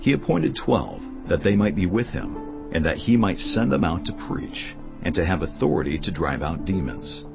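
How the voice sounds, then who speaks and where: Deep gravelly guy narrating bible audio drama, solemn and measured, music behind. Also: big pitch swings, lo-fi sound. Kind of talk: scripted dramatized scripture narration.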